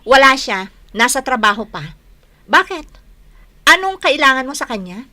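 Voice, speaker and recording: Stingy snappy filipina sister-in-law, radio drama, bright sharp voice, really dry studio sound. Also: female voice, high voice, big pitch swings, normal speed, no room sound, heavily acted. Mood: scornful, irritated.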